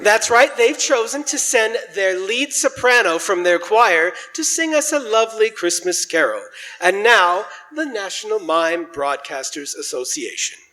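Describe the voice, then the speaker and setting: A man's voice: high-pitched, animated, a little slow, bright, forceful tone. A man hosting a live radio show, announcing in a showy, jocular old-time style in a church hall. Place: large church, live stage performance.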